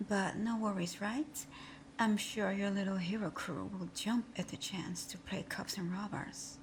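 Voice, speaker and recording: Woman acting a slow-spoken, breathy female supervillain. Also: medium pitch, steady tone, noisy background, close to an everyday voice. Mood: scornful, sarcastic. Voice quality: breathy, often whispered. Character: female supervillain thief, teasing bravado hiding a timid, wounded heart. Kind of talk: ASMR roleplay audio drama.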